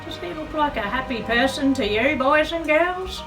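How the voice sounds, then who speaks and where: Female children's storyteller: highish, animated, picture-book read-aloud with chatty asides, music underneath. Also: normal speed, poor audio. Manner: chatty asides and questions to young listeners.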